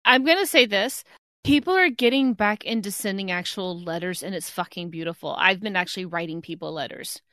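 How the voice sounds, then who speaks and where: A woman co-hosting a podcast with a young-sounding voice, blunt and animated, cursing freely. Her tone is bright and sharp at a middling pitch. The sound is close and dry. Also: brisk, no room sound. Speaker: podcast co-host. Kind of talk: casual chat.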